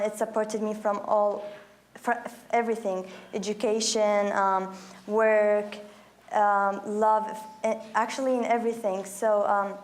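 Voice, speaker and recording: A woman in her early twenties from Iraq, speaking as a panelist with many pauses, ums and restarts. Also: mid-range voice. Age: 20s. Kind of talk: panel discussion.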